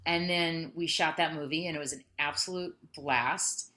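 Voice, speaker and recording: A woman guest talks through stories in a casual online interview, animated and quick to laugh. Her voice is low-pitched for a woman yet bright and forward. The sound is a video call. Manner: animated storyteller, laughs often. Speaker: guest, singer-songwriter and actor.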